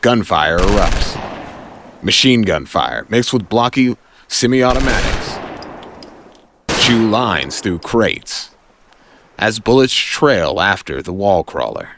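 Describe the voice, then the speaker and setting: A man's voice: on the low side, lively intonation, slow pace, pauses often, background noise. A man delivering dramatic scripted narration as the narrator of an audio story.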